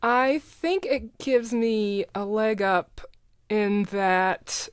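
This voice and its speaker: A woman's voice: mid-range voice, drawn-out words, smooth, no echo, studio quality. A middle-aged woman, a podcast guest, talking in casual interview chat with an informal, self-deprecating manner. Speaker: podcast guest, voice actor and ad producer.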